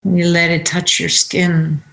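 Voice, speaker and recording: A woman guides a meditation in a fairly low voice with plenty of gravelly creak. She speaks very slowly, offering short, unhurried instructions and leaving space between phrases. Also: flat delivery, a bit bright, low-quality recording.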